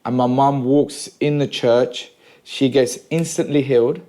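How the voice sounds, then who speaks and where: A man around forty, from Sri Lanka, talking as a guest at home. He tells his story at an easy pace, filling gaps with "uh" and "um" in a voice of middle pitch. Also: measured pace. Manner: relaxed storytelling with frequent "uh" and "um". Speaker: pastor, podcast guest. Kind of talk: casual conversation. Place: a home room.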